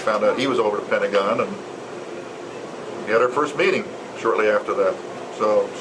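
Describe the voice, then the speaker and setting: Older man reminiscing over clear room noise. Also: fairly low pitch, fairly quick. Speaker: founding member of a hobby club. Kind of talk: informal reminiscing speech at a club gathering. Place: restaurant luncheon room. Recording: noisy room, air conditioning hum.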